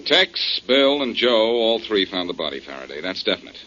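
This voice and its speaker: An actor playing a cool, wry American detective in a 1940s radio drama, assured and sardonic, on a muffled old recording. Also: male voice, lowish voice, big pitch swings, brisk, heavy background noise. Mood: cool, probing.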